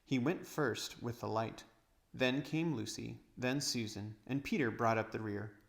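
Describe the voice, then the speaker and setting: Man, storybook narrator, gravelly creak, mid-pitched, reading aloud. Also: a little slow.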